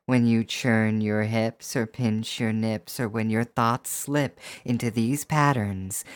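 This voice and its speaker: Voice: medium pitch, no echo. An adult performer delivering a scripted erotic hypnosis monologue in a coaxing, teasing, rhythmic way, speaking straight to the listener. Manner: coaxing, teasing, with rhyming, repetitive phrasing.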